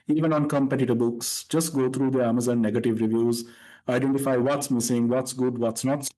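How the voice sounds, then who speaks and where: A man hosting a podcast, explaining his writing process in a relaxed way with plenty of "uh" and "basically". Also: medium pitch, rough recording. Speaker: podcast host. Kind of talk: casual interview chat.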